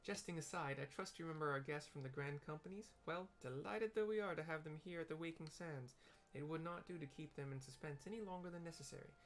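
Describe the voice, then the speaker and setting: Man putting on a polite, higher-voiced woman leader. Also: fast talker, hardly any pauses, slight background noise, lightly acted. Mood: polite, brisk. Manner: courteous, formal, gently teasing. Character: polite, brisk woman leader calling by link pearl.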